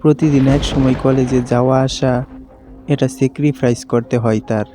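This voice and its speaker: A man's voice: mid-range voice, steady tone, normal speed, music in the background. A man narrating a scripted horror story for a cartoon.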